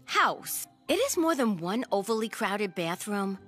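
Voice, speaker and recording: Sassy, slow-talking teen werewolf girl. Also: mid-range voice, big pitch swings, fairly bright tone, husky, faint music behind, heavily acted. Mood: wry. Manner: sassy, drawling. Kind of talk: animated film voice acting.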